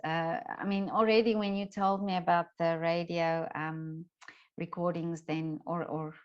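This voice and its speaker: A middle-aged woman with a fairly low voice chats as an interview host. She is effusive and conversational. The recording is slightly dull and muffled. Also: little pitch movement, measured pace, clean tone. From lives in Vienna, Austria. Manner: chatty, effusive, frequent agreeing interjections.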